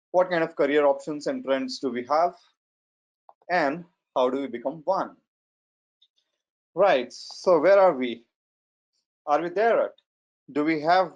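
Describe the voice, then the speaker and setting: A man in his forties with a strong Indian accent, giving an online lecture in a measured, hesitant way with fillers. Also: a little high. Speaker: data science trainer. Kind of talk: webinar lecture. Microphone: computer mic on a video call. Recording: slightly muffled online webinar audio.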